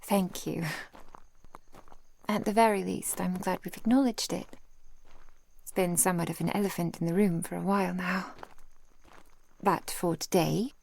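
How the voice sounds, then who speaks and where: A woman voicing an affectionate, protective ancient vampire woman in a soft, intimate ASMR roleplay, with genteel old-fashioned phrasing. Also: fairly low pitch, steady tone, normal speed, creaky, long pauses, a little hiss or hum. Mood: tender, apologetic.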